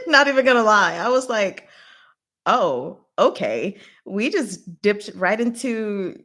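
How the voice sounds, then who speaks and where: Female livestream host, medium pitch, informal and rambling, giggly, reacts with animation. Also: sing-song, takes it slowly, a bit bright. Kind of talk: rambling casual livestream chat.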